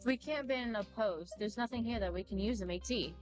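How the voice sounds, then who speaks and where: A woman's voice: medium pitch, brisk, a little mellow. A woman streaming a video game, chatting casually and reading dialogue aloud over game music.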